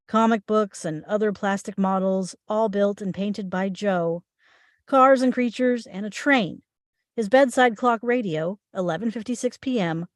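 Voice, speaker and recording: A woman's voice: mid-range voice, dry recording. Woman narrating a script, dry close sound. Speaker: stage direction reader. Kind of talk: scripted narration at a table read.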